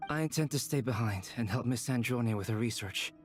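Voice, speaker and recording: A man playing a polite, reserved scholar in a video game, speaking in a measured, formal way. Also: medium pitch, faint music behind, lightly acted. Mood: calm, formal.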